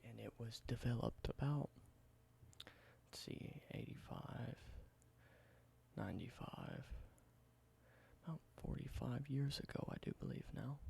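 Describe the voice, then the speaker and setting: A man who makes ASMR videos, doing a whispered roleplay and slipping between a soft whisper and a low, unhurried speaking voice. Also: creaky. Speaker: ASMR creator. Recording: noticeable background hiss.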